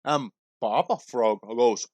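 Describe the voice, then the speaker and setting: A man in his forties hosting a casual livestream, talking in a loud, joking, rambling way. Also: medium pitch, slow pace, no room sound. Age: 40s. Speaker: livestream host.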